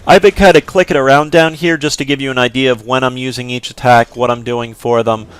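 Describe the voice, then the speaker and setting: A young man talks casually over his gameplay, rambling and filling pauses with ums before breaking into sudden shouts. His voice is smooth and clear, with an ordinary mid pitch. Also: steady tone, no room sound, low-quality recording. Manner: rambling, with many fillers and sudden outbursts. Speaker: gameplay video host. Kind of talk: casual let's play commentary.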